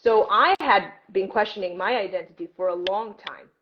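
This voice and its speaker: Expressive woman, native Armenian speaker, muffled audio. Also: medium pitch, normal speed, a little hiss or hum. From born in Armenia, moved abroad at six and grew up in several countries. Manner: expressive, acts out reported dialogue. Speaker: English teacher, guest. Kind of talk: animated casual conversation with personal anecdotes.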